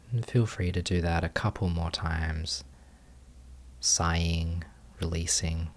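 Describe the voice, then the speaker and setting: Guy doing guided meditation, really deep voice, super slow, gentle, lots of long pauses. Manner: gentle, unhurried, with long pauses between short phrases. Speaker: meditation teacher.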